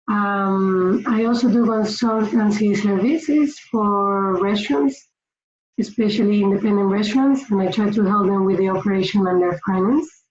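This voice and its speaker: A woman from Spain with a Spanish accent gives informal instructional tips to camera in a slightly hesitant, halting way. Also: medium pitch. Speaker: finance consultant giving budgeting tips. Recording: roomy echo, dull lo-fi sound, faint noise.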